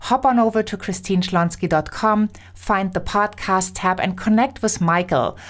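A woman's voice: mid-range voice, normal speed. A woman hosting a podcast, asking stumbling questions and giving an upbeat promotional wrap-up. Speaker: podcast host. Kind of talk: podcast interview and outro.

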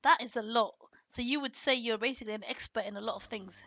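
A woman's voice: fairly high pitch, fast, fairly bright tone, no room sound, dull audio. A woman hosting a podcast, introducing her guest enthusiastically and repeating her phrases. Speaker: podcast host. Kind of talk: podcast interview, upbeat intro.

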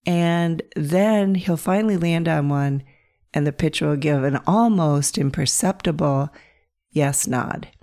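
A middle-aged woman from Pennsylvania hosting her podcast, hoarse and croaky from bronchitis, telling stories in a gentle, playful way. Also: low voice, measured pace. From north central Pennsylvania. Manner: gentle, playful storytelling with soft laughs. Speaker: podcast host. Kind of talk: reflective solo podcast monologue.

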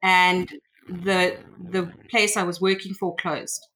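A woman's voice: on the low side, flat delivery, slow, sharp tone, rough recording. A woman with a South African accent, a guest on a podcast, telling her story in a chatty way with frequent ums. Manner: chatty, hesitant, many ums. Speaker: guest, tech consultant. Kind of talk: casual interview conversation.

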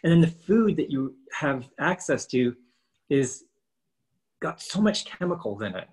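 A man's voice: fairly high pitch, very animated, unhurried, a little mellow, husky. A man in his fifties co-hosting a casual podcast, explaining training and nutrition with lots of rhetorical questions. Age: 50s.

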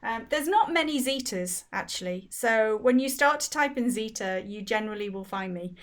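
A woman's voice: a little high, expressive, moderate pace. A woman from Wales, a business founder, speaking articulately as a guest in a casual interview. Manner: articulate and fluent.

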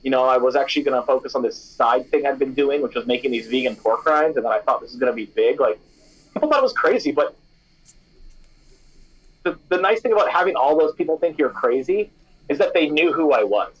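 A young man talks through his story in a loose, chatty way, leaning on fillers as he goes. His voice sits in a middle pitch. The sound is a bit dull and lo-fi, like a remote call. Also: brisk, husky. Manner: chatty and animated, many fillers like "you know" and "like". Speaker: snack company founder, former radio DJ. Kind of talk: casual interview answers.

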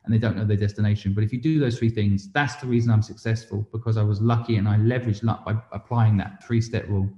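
A man's voice: fairly low pitch, steady tone, fast, few pauses, mellow. A man speaking as an interview guest, an entrepreneur explaining his views conversationally with rhetorical and tag questions. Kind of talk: conversational interview, giving business advice. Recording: roomy echo, slightly dull sound.

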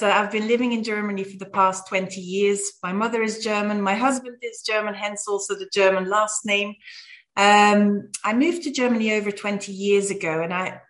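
Belgian-born woman CEO in interview. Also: mid-range voice. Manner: measured, with frequent fillers. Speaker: company CEO guest. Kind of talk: interview conversation.

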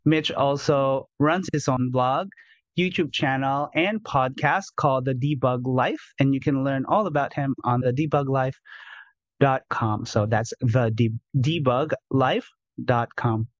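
A man hosting a podcast as a life coach, reading a polished intro and then asking interview questions in a smooth, upbeat way. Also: medium pitch, unhurried, no room sound, dull audio.

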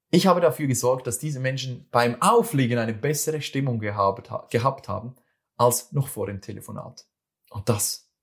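Earnest Swiss German man giving advice. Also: mid-range voice. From Switzerland. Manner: earnest, repeats and restarts phrases, addresses listener directly. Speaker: speaker giving communication advice. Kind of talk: motivational monologue.

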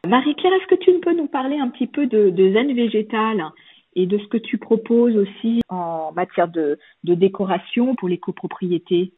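Woman host, husky voice, chatty and encouraging, dry narrow phone-like sound. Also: medium pitch, animated, normal speed, dry recording. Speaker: host, interviewer. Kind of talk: friendly interview questions.

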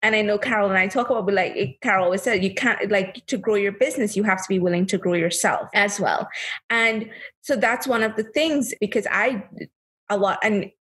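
A woman in her thirties sharing reflections in a casual group discussion, earnest and thoughtful, dropping into quick quoted asides. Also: medium pitch, a bit bright, slightly rough. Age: 30s. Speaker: panel guest.

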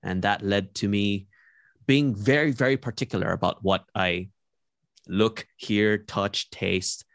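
Young man from Malaysia, low voice, dry close sound, reflective interview guest. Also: vocal fry, fairly bright tone, no room sound. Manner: thoughtful, measured, frequent "like" and restarts. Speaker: podcast guest.

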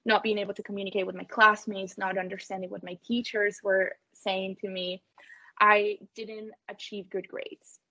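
A woman's voice: mid-range voice, little pitch movement, moderate pace, bright, forceful tone, dry recording, highs cut off. A woman from Colombia whose first language is Spanish, speaking earnestly and effusively as an interview guest, telling her story in a conversational way. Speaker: interview guest, tech company co-founder.

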